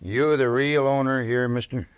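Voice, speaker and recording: An actor playing a brusque, domineering stranger with curt rustic speech in a 1950s radio western. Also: male voice, medium pitch, steady tone, moderate pace, clean tone, no echo, natural voice. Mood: brusque, dismissive. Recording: dull, dry old radio recording.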